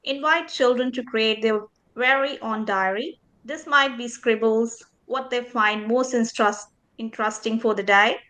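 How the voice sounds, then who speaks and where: Higher-pitched woman giving a student presentation. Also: a little slow, hardly any pauses, sharp tone, poor audio. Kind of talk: scripted presentation of teaching activities.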